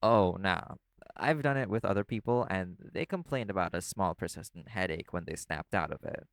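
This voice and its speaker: A man playing a laid-back, caring vampire in an audio roleplay, talking the listener toward sleep in a casual, gently instructive way. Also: sits low, animated, normal speed, no room sound, studio quality. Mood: wry, explaining. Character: laid-back male vampire companion, teasing yet caring.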